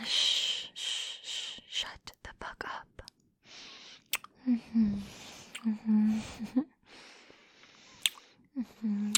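A woman's voice: on the high side, vocal fry, slightly rough, no room sound. A woman in a whispered audio roleplay, taunting and giving commands, close to the mic.

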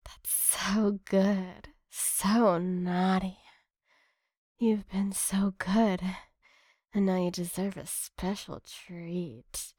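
A woman performing intimate adult ASMR straight to the listener, murmuring seductively and often slipping into a breathy whisper, close to the mic. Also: mid-range voice, flat delivery, very slow, darkish tone, no room sound. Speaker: erotic audio performer. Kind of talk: erotic ASMR audio addressed to the listener.

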